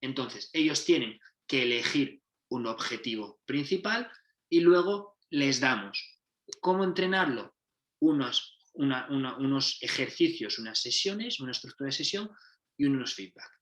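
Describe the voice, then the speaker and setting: A man presents his club's training method. He explains point by point and keeps restarting and fixing his wording. His voice is bright and forward, and it rings with the echo of a roomy space. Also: medium pitch, animated, measured pace. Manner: explanatory, restarts and self-corrections. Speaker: football coach and consultant.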